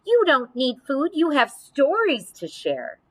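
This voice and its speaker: A woman voicing a tiny, kind mouse in a children's storybook, with a squeaky, comforting manner. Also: huge pitch swings, slow pace, full character voice. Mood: reassuring.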